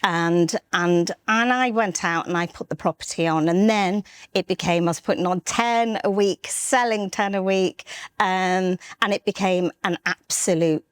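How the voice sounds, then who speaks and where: Low-voiced woman from North Wales, earnest. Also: clean tone, no echo. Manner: earnest, halting, restarts mid-sentence. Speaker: interview guest, estate agency director. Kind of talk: candid personal storytelling.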